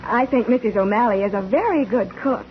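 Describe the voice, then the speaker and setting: A woman's voice: fairly high pitch, a little fast, natural voice. An actress playing a polite, well-bred young career woman, composed, in 1940s radio drama style. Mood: polite, reserved. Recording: dull, noisy old radio recording.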